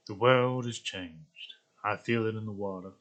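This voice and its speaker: A man playing a solemn narrator of an epic fantasy film prologue, reading in a measured way. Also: fairly low pitch, fairly bright tone. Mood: solemn, ominous.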